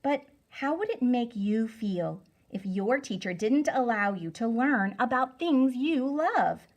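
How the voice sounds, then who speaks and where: A woman reading a storybook aloud to children as a teacher, deliberate, emphatic and instructive. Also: on the high side, expressive.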